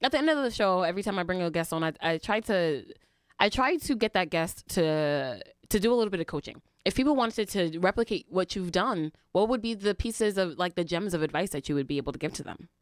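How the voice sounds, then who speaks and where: A woman hosting a casual interview, playful and laughing, with lots of quick agreement. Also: fairly low pitch, animated, no echo, clean studio sound. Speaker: interview host.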